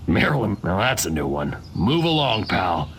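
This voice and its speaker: An actor with an American accent playing a brusque, wisecracking gate guard in a video game, clipped and offhand. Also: male voice, fairly low pitch, big pitch swings, normal speed, fairly bright tone. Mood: dismissive, wry. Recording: noisy outdoor game ambience with birdsong.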